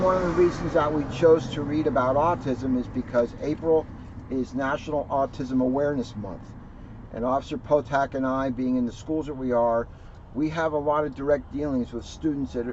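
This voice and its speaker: A man, a police officer, reading a children's book aloud and explaining it in simple terms. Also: medium pitch. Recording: dull lo-fi sound, faint background noise.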